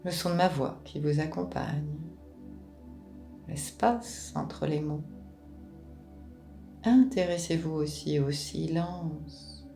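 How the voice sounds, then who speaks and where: A woman leading a guided relaxation meditation in a calm, gentle, unhurried manner, with pauses between short phrases. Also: deep voice, gravelly, faint music behind.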